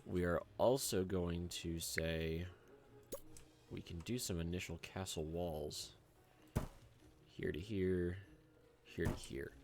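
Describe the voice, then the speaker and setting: A young man hoarse from a cold, rambling casually with lots of fillers as he hosts his gameplay commentary. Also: deep voice, steady tone, slow pace, long pauses, darkish tone, a little hiss or hum. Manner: rambling, filler-heavy and chatty. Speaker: gaming channel host.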